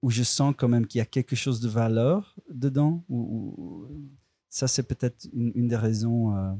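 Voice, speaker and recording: A man's voice: medium pitch, a little slow, warm tone, dry recording, clean studio sound. A filmmaker, a man speaking a language that is not his first, answers interview questions hesitantly, restarting and searching for words. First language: not French.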